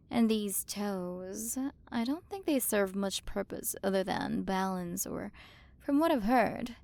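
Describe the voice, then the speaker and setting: A woman's voice: mid-range voice, gravelly, dry recording. Casting: female performer as an imperious alien queen-scientist for ASMR roleplay; mid-range voice, commanding and teasingly superior, intimate dry recording. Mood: bargaining, curious. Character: haughty alien galaxy queen, possessive scientist captor.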